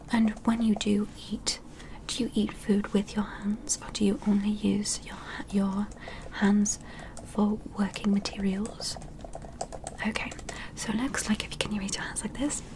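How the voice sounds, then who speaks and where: A woman playing a polite, curious alien medical examiner in an ASMR roleplay, speaking in a breathy whisper with a gentle, clinical, questioning manner. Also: mid-range voice, close to an everyday voice, low-quality recording.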